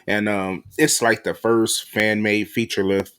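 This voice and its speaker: Casual, slangy low-voiced male podcast host. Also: level tone, a little slow, fairly bright tone, clear, resonant tone. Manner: slangy, informal address. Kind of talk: casual interview chat.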